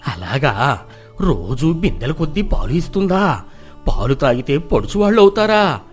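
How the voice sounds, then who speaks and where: A man performs a greedy, gullible villager of fifty in a storybook tale. His voice sits low and pleads eagerly. Music plays behind him. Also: big pitch swings, unhurried.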